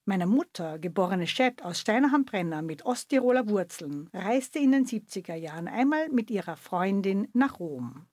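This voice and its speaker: A woman presenting a radio feature, telling a story in a measured, deliberate way. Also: mid-range voice, a little mellow, no echo, studio quality. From Carinthia, Austria. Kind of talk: scripted radio narration.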